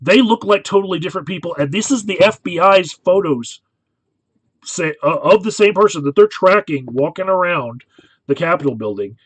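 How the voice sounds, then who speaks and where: Male video commentator, on the higher side, casual and explanatory, checks in with listeners often, very dry sound. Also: animated, normal speed, slightly rough, dry recording, poor audio. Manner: conversational, frequently checks in with "right?". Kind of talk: casual analytical commentary comparing images.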